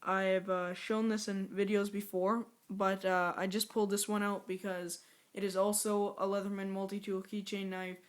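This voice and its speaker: A woman with a hoarse, scratchy voice from a sore throat, chatting casually through a rambling product unboxing review. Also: medium pitch, flat delivery, normal speed. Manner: rambling, chatty, self-aware.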